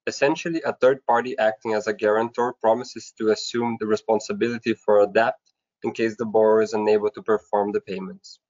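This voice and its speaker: A man's voice: lowish voice, fairly even intonation, a little fast, darkish tone. A man giving a formal, scripted slide presentation in a webinar, going through his points step by step. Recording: dull, lo-fi online webinar audio.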